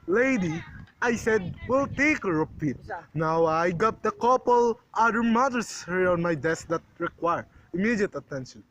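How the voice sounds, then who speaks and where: A woman's voice: lowish voice, expressive, unhurried, no room sound, lo-fi sound. A woman playing a weary, dismissive desk police officer in an amateur radio play, placating and patronizing. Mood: brisk, impatient.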